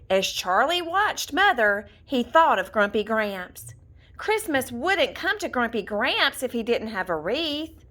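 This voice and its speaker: A woman reading a children's storybook aloud in an expressive, deliberate storytelling manner. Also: a little high. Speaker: storybook reader. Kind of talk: scripted children's story narration, read aloud.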